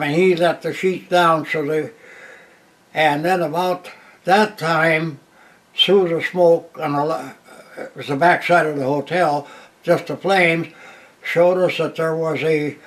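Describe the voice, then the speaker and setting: A man, a war veteran telling his story plainly with restarts and a loud voice. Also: a little high. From Minnesota, USA. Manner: plain unhurried retelling with restarts. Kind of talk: oral history storytelling.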